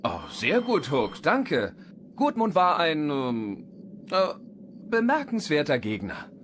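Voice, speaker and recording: A friendly, confident young race car from a cartoon. The voice is bright and fairly high, boyish and expressive, with very animated pitch over faint noise. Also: male voice, slow pace, exaggerated voice. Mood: defensive. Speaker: voice actor in German dub.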